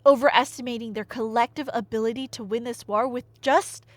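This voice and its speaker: A young woman retelling a tale as a chatty, theatrical storyteller, with emphatic delivery, dry humor and dramatic pauses. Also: a little high, steady tone, dry recording. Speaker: audio storyteller playing a nerdy friend. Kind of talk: casual dramatic retelling of myth, as ASMR roleplay.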